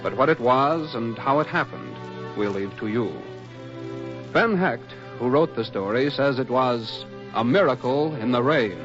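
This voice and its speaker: A man announcing and narrating in a solemn, measured 1940s radio style. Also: mid-range voice, lively intonation, over music. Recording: muffled old 1940s radio recording.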